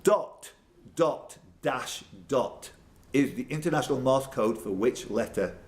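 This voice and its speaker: A man works as quizmaster in a pub, reading questions and tossing in jokey asides. His pitch moves about in an animated way at a middle register. There is some noise around him in the live room. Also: unhurried. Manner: jokey, laughs at own jokes. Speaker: pub quiz host.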